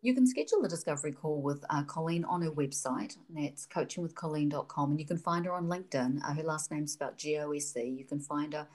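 Woman, New Zealand accent, low voice, podcast host, enthusiastic, effusive. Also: quick pace, few pauses. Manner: warm, effusive, enthusiastic questioning. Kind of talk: interview conversation.

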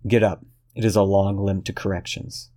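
A man voicing a cold, controlled detective who speaks in a measured, menacing way. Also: low voice, little pitch movement, dark tone, close to an everyday voice.

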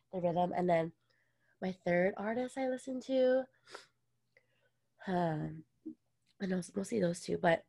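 A woman's voice: medium pitch, darkish tone. A young woman from Hawaii co-hosting a podcast in casual chat, chatty and full of "like" and laughs. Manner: chatty, says "like" a lot, laughs often. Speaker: podcast co-host.

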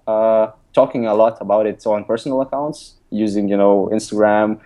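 A man's voice: on the low side, fairly even intonation, moderate pace, mellow. A young man from Lithuania, a non-native speaker of English, talking casually as an interview guest with many fillers and restarts. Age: young adult. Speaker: guest, app designer and author. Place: recording remotely from abroad.

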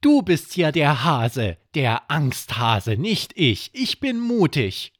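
A man's voice: on the high side, sing-song, takes it slowly, no echo, clean studio sound, pitched above the performer's own voice, full character voice. A man voicing a scared but stubborn seven-year-old boy in a children's bedtime story. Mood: amused, boastful.

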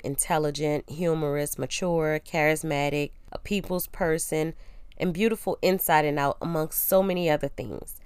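A woman's voice: low-pitched, smooth, dry recording. A young woman hosting a casual solo podcast who is getting over a cold, sounding congested and a little nasal, chatty and self-assured as she reads from her old blog post. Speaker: podcast host.

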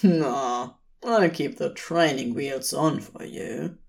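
A woman voicing a laid-back, sly yet bashful man with a raspy, sleep-rough voice and casual, slangy phrasing. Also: rumbling low, takes it slowly, voice pushed down, exaggerated voice. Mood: cocky, reassuring.

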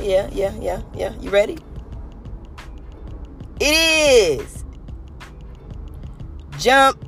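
A podcast host from California, a little hoarse and raspy today, giving an earnest, rambling motivational monologue full of "you know" fillers. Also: medium pitch.

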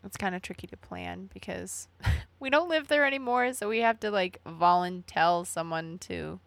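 A woman's voice: on the low side, moderate pace, gravelly, no room sound. A young woman co-hosting a podcast, upbeat and laughing often as she steers the chat with questions. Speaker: podcast co-host. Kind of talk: casual chat.

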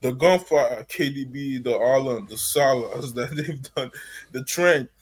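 Male panelist, middle pitch, blunt casual delivery. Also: a little slow, husky. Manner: blunt, casual slang, laughs mid-sentence. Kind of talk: casual football chat.

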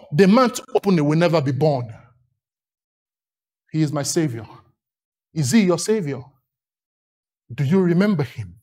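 A man's voice: a little high, darkish tone, breathy edge. A man from Lagos with a Nigerian accent, preaching a sermon with emphatic, rhythmic repetition and long lists.

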